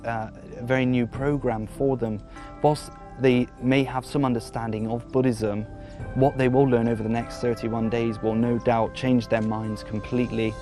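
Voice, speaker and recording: A man presenting a documentary to camera outdoors at a rural monastery, speaking in a formal, measured way with some repeated phrasing, and chatting cheerfully with children. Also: medium pitch. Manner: jokes and chats cheerfully with children.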